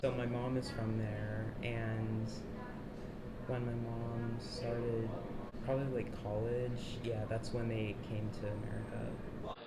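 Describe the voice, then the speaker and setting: A man's voice: mid-range voice, flat delivery, takes it slowly. A teenage boy explaining his family history in a plain, matter-of-fact way. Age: teens. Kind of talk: casual interview answer. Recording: echoey room with loud noise.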